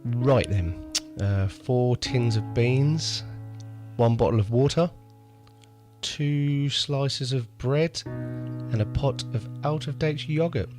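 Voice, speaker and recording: A man's voice: medium pitch, takes it slowly, over music, lightly acted. A man playing a wry, understated survivor keeping a radio diary in an audio drama. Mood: wry, resigned. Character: wry male survivor and amateur radio host.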